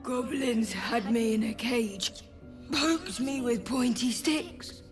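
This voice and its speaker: A woman voicing a simple, trusting young animal cub in a video game, in halting, childlike broken phrases. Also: a little high. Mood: fearful. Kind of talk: video game dramatic acting. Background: music under the voice.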